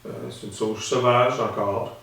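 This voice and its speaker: Echoey man explaining calmly. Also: medium pitch, unhurried. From Saskatchewan, Canada. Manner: calm, explanatory, with frequent hesitations. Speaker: instructor. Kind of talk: instructional demonstration. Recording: very echoey room, mic at a distance.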